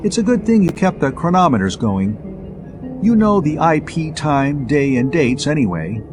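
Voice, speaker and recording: A man's voice: a little high, big pitch swings, moderate pace, music in the background. A man voicing a plucky, devoted young woman space traveler in a science fiction audiobook, with questioning, teasing banter. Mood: curious.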